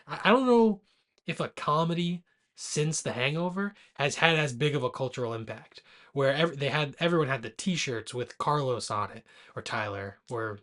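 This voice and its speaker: A man's voice: mid-range voice, normal speed, fairly bright tone. A man in his late twenties co-hosting a movie podcast, chatting casually and jokingly while drinking. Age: late 20s. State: drinking alcohol. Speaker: podcast co-host.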